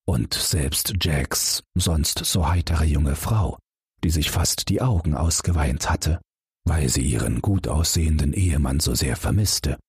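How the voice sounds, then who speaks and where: A man narrating a bedtime fairy tale in a deep, breathy voice, unhurried and full of melodic rises and falls. He speaks directly to his listeners in a dry, close studio sound. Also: no echo. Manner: calm, expressive storytelling, addressing listeners directly. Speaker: audiobook narrator.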